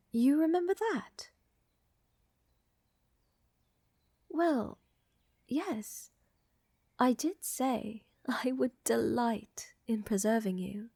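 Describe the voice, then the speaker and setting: Woman playing a breathy, whispering vampire countess. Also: fairly high pitch, no echo, clean studio sound. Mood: flirtatious. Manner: soft, whispery, formal and genteel phrasing. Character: elegant, flirtatious, tender female vampire countess. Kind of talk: roleplay ASMR, intimate scripted acting.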